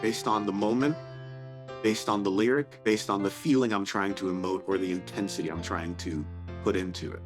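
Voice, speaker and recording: A man who sings for a living, calmly explaining how he sings and how he cares for his voice in an interview. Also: fairly low pitch, normal speed. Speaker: professional singer. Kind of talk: interview talk. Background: music bed.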